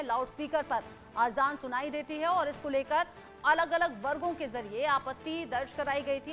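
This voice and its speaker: A woman's voice: high-pitched, pushed and bright. A woman anchoring live television news, stating and repeating key points emphatically and putting questions to a correspondent.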